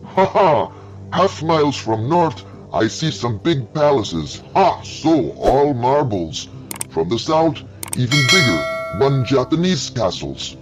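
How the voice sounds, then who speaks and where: A man's voice: mid-range voice, sing-song, slow, pitched above the performer's own voice. Alien exterminator, male, eager and insistent, broken English, raised and drawn out, read by a man; storybook. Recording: dull lo-fi with faint music.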